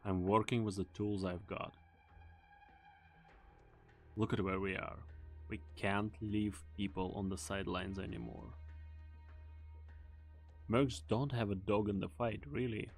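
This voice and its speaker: A man playing a grave, calculating mercenary team leader with a measured, serious delivery in an amateur game dub. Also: fairly low pitch, fairly even intonation, faint music behind. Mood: grave, resolute.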